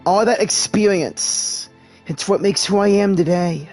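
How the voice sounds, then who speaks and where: A man plays through a game live, answering the characters aloud. His voice is quite high for a man and his delivery is slow, halting and earnest. Soft game music runs behind him. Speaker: gaming streamer and amateur voice-over artist. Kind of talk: live game playthrough, voicing replies to characters.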